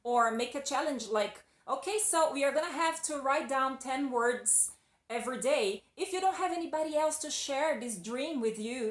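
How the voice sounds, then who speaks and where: A woman's voice: high-pitched, roomy sound. A Brazilian woman with a Brazilian accent, speaking English as a second language, giving a chatty, encouraging English lesson. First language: Portuguese. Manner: chatty, encouraging, restarts phrases often. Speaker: English teacher. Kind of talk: casual video lesson.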